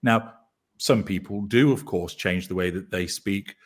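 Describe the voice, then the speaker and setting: Man, deep baritone, measured guest commentator with dry humor. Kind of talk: casual chat.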